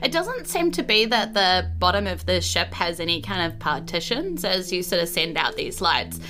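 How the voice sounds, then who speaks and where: A woman's voice: lowish voice, bright, forceful tone. A woman with a New Zealand accent improvising narration as game master of a tabletop game, conversational and descriptive. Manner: conversational, descriptive, hedging with kind of and sort of. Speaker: game master, podcast host. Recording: faint music behind the voice. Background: faint background music.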